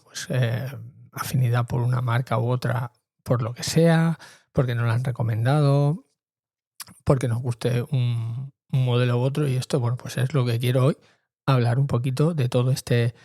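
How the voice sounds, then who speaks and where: A man's voice: mid-range voice, warm tone, no room sound, clean studio sound. A middle-aged man hosting a podcast in an informal monologue, speaking hesitantly and repeating words for emphasis. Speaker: podcast host.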